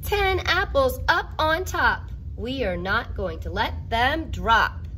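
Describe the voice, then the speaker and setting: Female children's storyteller, teacher type. Highish, very lively delivery, expressive and playful, faint background noise. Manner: expressive, exclaiming, playful, counts along deliberately. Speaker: teacher reading a picture book to children. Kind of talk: animated children's read-aloud with asides.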